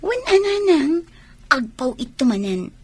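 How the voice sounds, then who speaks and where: An actress playing a breezy, coaxing grown daughter in a radio drama. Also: female voice, high voice, sing-song, a little slow, a little mellow.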